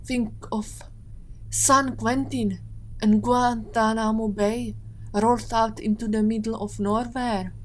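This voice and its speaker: An actress playing a composed Interpol agent held captive, speaking in a measured, matter-of-fact way in an audio drama. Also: female voice, on the high side, steady tone, a little hiss or hum, poor audio. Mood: composed, explanatory.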